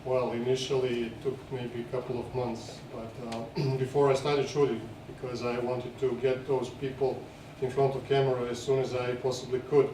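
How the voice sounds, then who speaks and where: A man's voice: mid-range voice, level tone, moderate pace, few pauses. A man giving unscripted interview answers as a film director, hesitant and repeating words as he explains. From Serbia. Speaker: documentary film director, festival guest. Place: echoey screening venue. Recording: echoey room, distant mic, faint noise.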